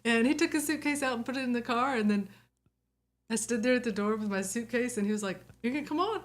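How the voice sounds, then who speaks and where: A woman's voice: fairly high pitch, fast talker. Woman telling a story with acted-out quotes. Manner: animated, acts out quotes. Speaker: guest. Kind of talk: casual storytelling.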